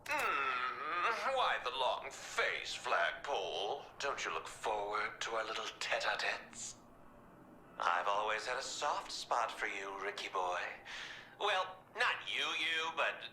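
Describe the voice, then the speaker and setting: Wildly theatrical clown villain, swooping pitch. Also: male voice, big pitch swings, slow, pushed and bright, roomy sound, a little hiss or hum, heavily acted. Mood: manic glee. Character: theatrical, manic young psychopathic clown villain. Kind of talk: video game villain acting.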